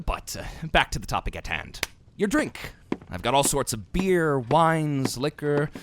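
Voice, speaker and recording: Flustered innkeeper, wildly swooping pitch. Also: male voice, background noise. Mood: evasive, flustered, then eager, showy. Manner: flustered, stammering, courteous. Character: tired, flustered, obliging male innkeeper. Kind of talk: fantasy audio drama acting.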